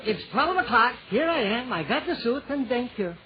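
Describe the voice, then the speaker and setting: Brash, bossy male dealer, 1940s radio comedy, wildly varied pitch, dull vintage broadcast sound. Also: big pitch swings, normal speed. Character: brash, bossy male suit-rental dealer in fairy-tale spoof.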